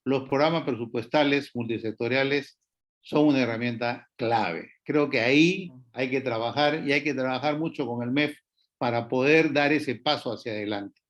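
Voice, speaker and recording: A man's voice: mid-range voice, normal speed. Man speaking over dull lo-fi call audio. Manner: hesitant, many fillers and restarts. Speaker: panelist. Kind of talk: panel discussion remarks.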